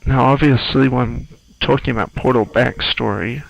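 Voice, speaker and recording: A man giving casual, rambling playthrough commentary in an informal, halting, musing way. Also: mid-range voice, fairly even intonation, gravelly, highs cut off. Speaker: screenwriter doing game playthrough commentary.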